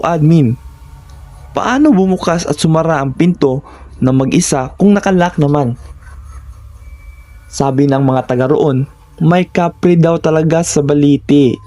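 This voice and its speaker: A man's voice: a little high, warm tone. A man with a Filipino accent giving a scripted first-person narration of horror stories.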